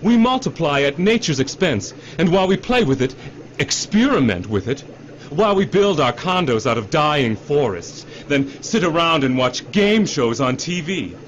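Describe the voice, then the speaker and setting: Casting: man to play slick middle-aged executive, self-justifying, higher-set animated voice, radio drama. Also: normal speed. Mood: angry, defiant. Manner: overfamiliar, salesman-like cajoling, repeats himself. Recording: dull radio drama recording, faint noise.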